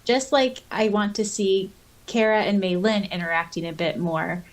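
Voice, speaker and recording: A woman with a medium-pitched voice co-hosts a fan podcast. She reads comments aloud and chats casually about them, expressive and informal in her delivery. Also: normal speed. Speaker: podcast co-host.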